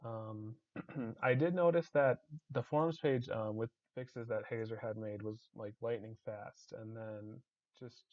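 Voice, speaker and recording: A man hosting a casual online community discussion, hesitant with many ums and restarts, his voice slightly raspy. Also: on the low side, steady tone, unhurried, darkish tone, lo-fi sound. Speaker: community staff host.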